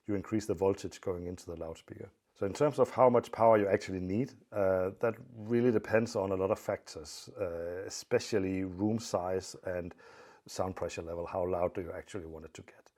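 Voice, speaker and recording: A man with a very low, soft-edged and relaxed voice presents as a technical expert. He explains things informally and step by step, in a measured, matter-of-fact manner.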